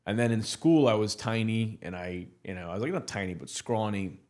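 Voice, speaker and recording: A man in his thirties from Morocco, speaking as a podcast guest in a low voice. He talks candidly and bluntly, restarting his sentences and leaning on fillers as he goes. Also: animated, fairly quick, a little mellow. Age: 30s. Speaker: guest entrepreneur. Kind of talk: casual podcast interview.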